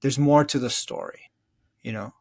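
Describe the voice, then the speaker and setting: A man's voice: medium pitch, fairly even intonation, moderate pace, a little mellow. A man speaking as an interview guest, reflecting in an earnest, thoughtful and explanatory way. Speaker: interview guest, therapist and author.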